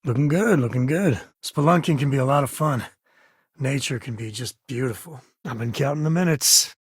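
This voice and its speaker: A man playing an easygoing, wry frontier spacefarer and father in a video game, with a husky voice and folksy phrasing. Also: mid-range voice, moderate pace, no room sound, clean studio sound, close to an everyday voice. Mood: warm, teasing. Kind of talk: acted video game companion dialogue.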